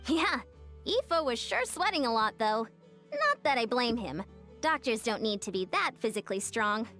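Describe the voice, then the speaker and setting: A woman's voice: on the high side, big pitch swings, normal speed, sharp tone, faint music behind. A woman voicing a tough, upbeat female sports coach in a video game, breezy and self-assured. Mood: confident. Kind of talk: game dialogue.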